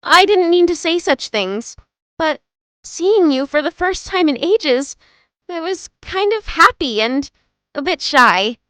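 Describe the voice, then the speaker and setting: A woman voicing a shy, clumsy teenage girl in a manga dub, bubbly and quick to apologize. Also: very high voice, animated, fairly bright tone, no room sound, heavily acted. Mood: apologetic.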